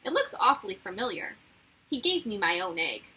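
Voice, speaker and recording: Woman reading as a bossy, forceful little girl. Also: medium pitch, big pitch swings, brisk, slightly rough, heavily acted. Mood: scornful, indignant. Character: bossy, scheming little girl, easily indignant. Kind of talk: children's storybook read-aloud.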